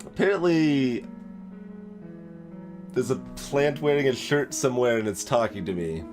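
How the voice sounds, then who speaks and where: A man's voice: medium pitch, big pitch swings, measured pace. Casual male let's player over music. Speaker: let's player, video game streamer. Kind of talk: casual gaming commentary with read-out dialogue.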